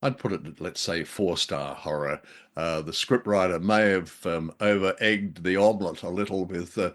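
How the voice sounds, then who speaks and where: A man's voice: sits low, normal speed. A man co-hosting a radio show, reviewing drama casually in a wry, playful way with wordy turns of phrase.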